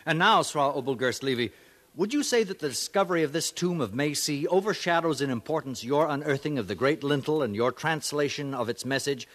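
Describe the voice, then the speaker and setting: A man's voice: a little high, expressive, a little fast, fairly bright tone. A man playing an earnest broadcast reporter of the far future in a 1950s radio drama. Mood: formal, inquiring. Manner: formal, portentous.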